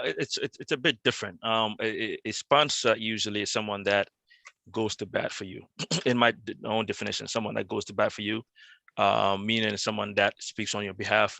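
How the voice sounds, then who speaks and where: A man's voice: lowish voice, steady tone, brisk, gravelly, sharp tone, no echo. A man speaking as a finance panelist on a video call, hesitant at first and then explaining plainly.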